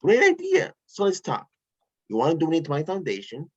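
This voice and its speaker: A breezy, casual billionaire, read by a man in a home table read. His voice jumps all over the place in pitch and stays loose and casual. The sound is dull and lo-fi. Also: huge pitch swings, a little fast, darkish tone, breathy edge, exaggerated voice. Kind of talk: amateur online table read.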